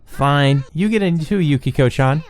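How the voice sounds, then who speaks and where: A woman's voice: low-pitched, normal speed, a little mellow, pitched above the performer's own voice, close to an everyday voice. A woman voicing a bold, playful, nosy schoolgirl in a visual novel. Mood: teasing.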